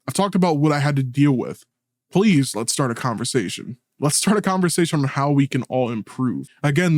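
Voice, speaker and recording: A man's voice: mid-range voice, a little mellow, no room sound, clean studio sound. A man speaking earnestly and colloquially in a personal video essay, as the creator narrating it.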